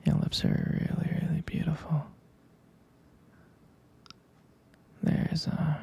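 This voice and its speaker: Male actor as soothing, devoted lover for intimate ASMR: breathy whisper, heavy vocal fry, gentle halting delivery. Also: on the high side, full character voice. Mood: tender, admiring. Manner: slow, halting, gentle murmuring with lingering pauses. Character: adoring, tender male romantic partner, soothing and devoted.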